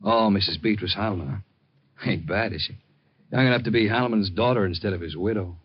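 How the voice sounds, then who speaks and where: A curious, colloquial newspaper clerk in an old radio drama. His voice is lowish and husky, and the recording is muffled and vintage. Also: quick pace. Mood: wry, curious. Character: casual, curious male newspaper librarian. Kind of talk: 1950s radio drama acting.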